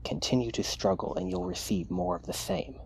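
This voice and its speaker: A cold, possessive mad scientist played by a man in an ASMR roleplay. The voice is low and full of fry, moving between clinical dictation and hushed menace, over an audible hiss. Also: animated, brisk. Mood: threatening. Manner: clinical dictation mixed with hushed, taunting asides.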